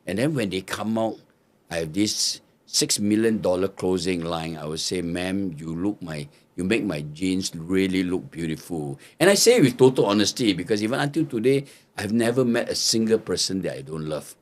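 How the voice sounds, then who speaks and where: An older man from Singapore, a podcast guest telling lively stories and mimicking hawkers' cries. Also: deep voice, normal speed. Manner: lively storyteller, mimics market hawkers' calls. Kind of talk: storytelling interview answers.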